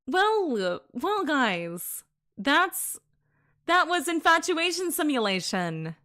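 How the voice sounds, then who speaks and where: Brief: woman streamer, unhurried delivery, bright high voice, gushing and giggly, faint game music under her. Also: very animated. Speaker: VTuber streamer. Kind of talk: gameplay commentary and reading a visual novel aloud.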